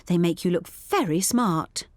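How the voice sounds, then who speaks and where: A woman voicing a calm, kind mother pig in a children's cartoon, gentle and reassuring. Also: on the low side, unhurried, no echo, studio quality, natural voice. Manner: gentle, reassuring, warmly patient.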